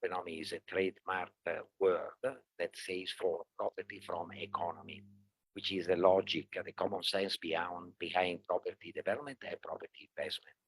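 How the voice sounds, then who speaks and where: An older man from Italy with an Italian accent, speaking casually as a panelist in a halting, jokey manner with many pauses. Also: low-pitched, steady tone, slightly rough, poor audio. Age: 60s or older. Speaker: panelist, property entrepreneur. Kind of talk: casual self-introduction to a live audience.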